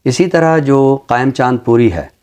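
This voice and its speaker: A middle-aged man with a Pakistani accent speaks formally and deliberately about literature, repeating phrases for emphasis. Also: mid-range voice, darkish tone, clear, resonant tone. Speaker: literary show presenter and book reviewer. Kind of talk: measured literary talk with poetry recitation.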